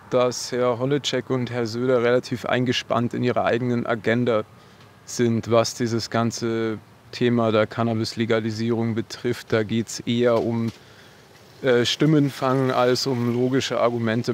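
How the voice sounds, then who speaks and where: A man answering a street interview outdoors in thoughtful, measured sentences with frequent fillers, into a handheld mic. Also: medium pitch, level tone, slight background noise.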